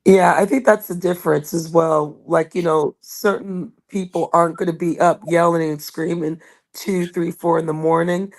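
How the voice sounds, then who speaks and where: A woman's voice: on the low side, steady tone, normal speed. A woman calling into a casual chat, talking hesitantly with many fillers. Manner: hesitant, filler-heavy talk.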